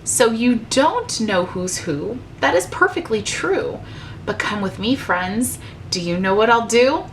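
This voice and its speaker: A woman's voice: mid-range voice, a bit bright, a little hiss or hum. A woman voicing a sly male storybook salesman with keen, persuasive patter. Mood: sly reassuring. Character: sly smooth-talking male travelling salesman and swindler.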